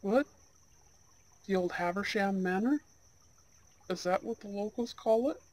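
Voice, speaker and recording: A performer acting as a charming young Goth gentleman in an ASMR date roleplay. He talks very slowly and softly at a middling pitch, with courteous, slightly old-fashioned phrasing and a gentle manner toward an unheard listener. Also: close to an everyday voice. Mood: curious, uneasy.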